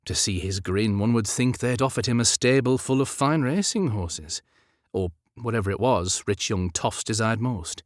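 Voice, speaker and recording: A man narrates a historical novel in a lowish voice. His storytelling is measured and dramatic. The sound is dry and studio-close. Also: lively intonation, no echo. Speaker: audiobook narrator.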